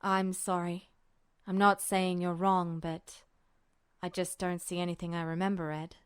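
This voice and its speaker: A woman voicing a reserved, uncertain grown man in a story reading, speaking in a halting, subdued way. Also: lowish voice, flat delivery, smooth, pitched below the performer's own voice, lightly acted. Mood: apologetic. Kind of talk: audiobook-style character dialogue.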